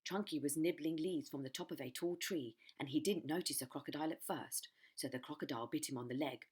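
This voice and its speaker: A woman reading a children's storybook aloud in an expressive narrating style, like a primary school storytime. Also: low voice, fast talker, husky.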